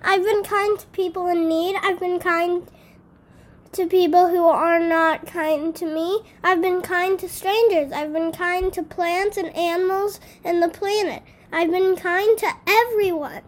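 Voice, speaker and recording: A woman's voice: fairly even intonation, measured pace. A woman doing a tiny anxious kind dog. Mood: earnest. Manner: high, earnest, pleading. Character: small kind anxious female dog, young.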